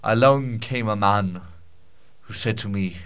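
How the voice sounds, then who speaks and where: A man's voice: lowish voice, a little slow, fairly bright tone, clean tone, voice pushed down. A man improvising a comic monologue as a pompous, rambling Scottish storyteller, with archaic phrasing, stumbles and repeats. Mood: grandiose, rambling.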